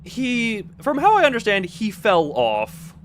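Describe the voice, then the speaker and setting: A man with a fairly high, very animated voice gives casual commentary on a game stream. He rambles, restarts and rephrases as he chats to viewers, with noticeable noise behind him. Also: unhurried. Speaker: live streamer playing a horror game.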